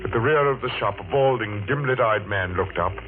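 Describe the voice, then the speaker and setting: A man's voice: fairly low pitch, fairly quick, background noise, natural voice. An actor playing a haunted man recounting his youth with dramatic, suspenseful delivery in 1940s radio thriller style. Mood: tense, secretive. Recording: tinny old radio recording, loud music.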